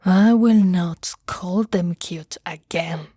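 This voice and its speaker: Grumpy giantess , played by a woman, dismissive and grudging toward tiny humans, mellow tone, dry close ASMR roleplay sound. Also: on the low side, slow pace, breathy edge, no room sound. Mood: blunt, defensive.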